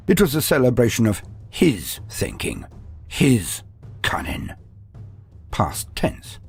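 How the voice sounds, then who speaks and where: Dramatic male storyteller with a husky voice. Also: lowish voice. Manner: theatrical, with dramatic pauses and asides. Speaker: narrator of a lore guide. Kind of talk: dramatic scripted storytelling narration.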